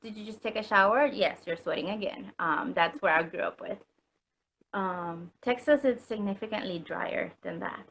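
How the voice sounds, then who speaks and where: A woman's voice: medium pitch, normal speed, vocal fry, a bit bright, slight background noise. A woman from Puerto Rico chatting as an interview guest, full of ums and likes, laughing easily. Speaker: customer guest. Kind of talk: casual interview chat.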